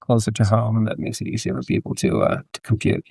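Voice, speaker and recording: A man with a Danish accent speaking casually as an interview guest, in a somewhat halting, hedging way. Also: on the low side, expressive, creaky, darkish tone, breathy edge, no room sound, clean studio sound. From Denmark. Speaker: podcast guest, entrepreneur and author.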